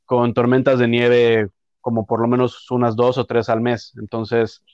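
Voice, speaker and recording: A man in his late thirties with a Mexican accent, hosting a relaxed interview podcast in a chatty, slangy way. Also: medium pitch, measured pace, smooth, no echo, highs cut off. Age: late 30s. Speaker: podcast host. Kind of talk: casual interview chat.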